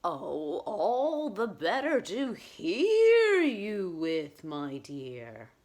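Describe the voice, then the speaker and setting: A woman voicing a sly wolf who pretends to be a sweet old grandmother, with drawn-out, coaxing endearments in a children's story. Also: mid-range voice, huge pitch swings, a little mellow, full character voice. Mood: sly, menacing.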